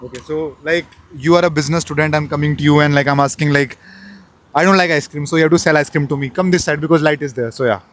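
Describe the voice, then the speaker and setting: A young man hosting a casual street vlog, teasing and animated. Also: a little high, fast talker, low-quality recording. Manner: teasing, animated, mixes Hindi and English. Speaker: vlogger host.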